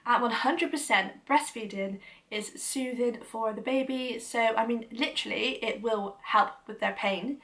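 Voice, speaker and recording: A woman's voice: medium pitch, fairly bright tone, recorded from a distance. A young woman with a cold and a blocked-up nose, chatting enthusiastically to camera as a parenting vlogger, with lots of "you know". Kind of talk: casual explanatory talk to camera.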